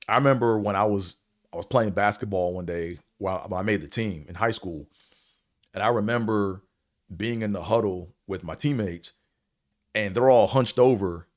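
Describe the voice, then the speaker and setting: A man with a deep, low voice delivers a casual opinion monologue as a show host. He talks bluntly and swears freely, wandering between points with a slight stutter and filling gaps with "man" and "you know". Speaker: show host reviewing a series.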